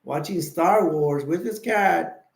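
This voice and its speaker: A drowsy man chats loosely through a late-night stream, his voice sitting a little high for a man. He rambles between thoughts and greets viewers by name. The sound bounces around a bare, echoey room. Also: slow, creaky. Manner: rambling, sleepy, chatty with viewer shout-outs. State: tired and sleepy. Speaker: live stream host. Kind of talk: casual late-night live stream chat.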